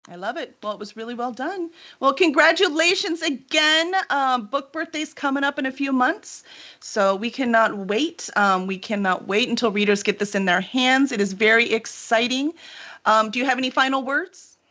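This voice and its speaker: A woman's voice: high voice, moderate pace, sharp tone. A woman hosting a casual book livestream as the publisher, talking in an enthusiastic, gushing manner. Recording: slightly dull online stream audio.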